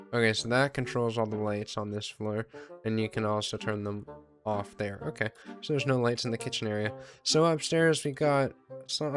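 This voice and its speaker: A man giving casual, rambling gameplay commentary as a video host, offhand, self-deprecating and casually sweary. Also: mid-range voice.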